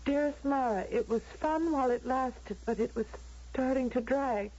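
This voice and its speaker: An actress playing an anguished young violinist in an old-time radio drama, breathless, hesitant and dramatic. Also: female voice, high voice, moderate pace, darkish tone, breathy edge. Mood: distraught. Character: anguished young woman violinist torn between dream and reality. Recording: muffled old radio broadcast recording.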